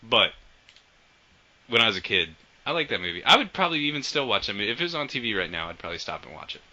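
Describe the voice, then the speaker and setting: A man hosting a casual podcast, enthusiastic and swearing often, trailing off quietly at the ends of sentences. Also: lowish voice, sing-song, fast talker. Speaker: podcast host. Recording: dull lo-fi audio.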